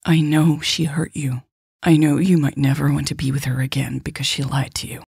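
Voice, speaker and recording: A woman's voice: low voice, level tone, normal speed, vocal fry, a little mellow, husky, no echo, studio quality, exaggerated voice. A woman narrator playing an urgent, impatient but kind woman who pleads insistently in a romance story. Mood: calming, earnest.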